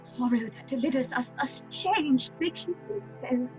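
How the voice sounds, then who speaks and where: A timid, high-voiced young actress. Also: female voice, expressive, measured pace, a little mellow, dull audio, faint music behind. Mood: nervous declaiming. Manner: meek, faltering. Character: timid, apologetic young female actress, fearful. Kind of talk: live audio horror drama acting.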